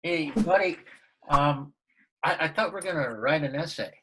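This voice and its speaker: A man's voice: fairly high pitch, moderate pace, noisy background, lightly acted. A man reading the part of an earnest, goofy suburban dad at a sitcom table read.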